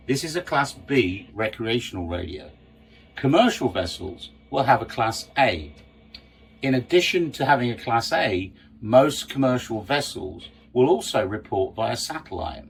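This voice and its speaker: A man's voice: mid-range voice, slight background noise, rough recording. A man teaching a practical lesson, explaining step by step and stressing key points in a didactic, emphatic way. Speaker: sailing instructor. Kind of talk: explanatory lesson with worked examples.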